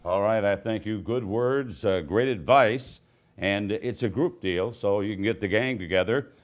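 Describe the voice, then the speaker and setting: A man hosting a promotional interview in a showy, mock-grand announcer manner with drawn-out emphasis. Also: on the low side. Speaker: interviewer in a team promotional segment.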